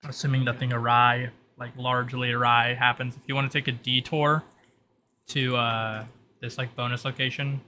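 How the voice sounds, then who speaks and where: The man at the head of an online tabletop session, briefing players in halting bursts with a bright edge, keys clicking in the background. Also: mid-range voice, level tone. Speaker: game master. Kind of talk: narrating a tabletop game, in and out of character. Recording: noisy mic with keyboard clatter.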